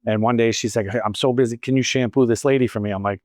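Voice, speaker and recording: A man's voice: medium pitch, fairly even intonation, fast, a little mellow, dry recording, clean studio sound. A man in his fifties from Boston, with a slight Boston accent, chatting as a salon owner who answers audience questions and tells stories with wry asides. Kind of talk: casual Q&A talk at a training event.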